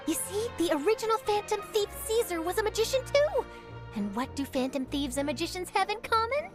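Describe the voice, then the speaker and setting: Young scoop-hungry reporter, high voice, lively swings, upbeat, game music. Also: female voice. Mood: excited. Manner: brisk, upbeat, theatrical. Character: eager young woman reporter chasing a scoop. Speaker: journalist. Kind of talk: video game voice acting. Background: game score music.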